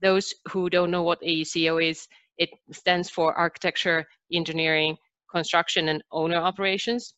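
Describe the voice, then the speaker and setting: A middle-aged woman from Finland with a Finnish accent, telling her career story as an interview guest with many pauses. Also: on the low side, little pitch movement, a bit bright, dry recording, lo-fi sound. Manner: earnest, with frequent "uh" and "um" pauses. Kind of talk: conversational interview.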